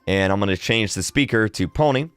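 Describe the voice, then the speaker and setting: A man's voice: on the low side, animated, brisk, a bit bright, clean tone, no room sound, soft background music. A young adult man giving a casual, chatty tutorial commentary as a gaming video host, upbeat and close on the microphone. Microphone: close desk microphone on boom arm.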